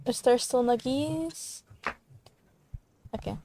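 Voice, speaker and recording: A woman's voice: high-pitched, takes it slowly. A giggly, apologetic woman chatting casually as she streams a game from home. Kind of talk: casual chat while playing a game. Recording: home mic with clear fan and TV noise.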